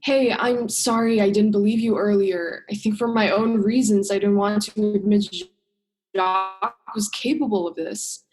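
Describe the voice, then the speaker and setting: A woman's voice: medium pitch, fairly even intonation, normal speed, a little mellow, natural voice, poor audio. A young woman acting an earnest, principled diver in a student radio play. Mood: friendly, apologetic. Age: young adult.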